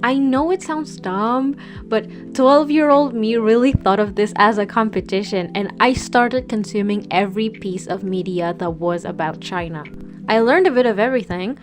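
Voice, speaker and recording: A young woman from Peru, a Spanish speaker, telling a scripted storytime in an earnest, gently self-mocking way. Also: medium pitch, moderate pace. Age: young adult. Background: music bed.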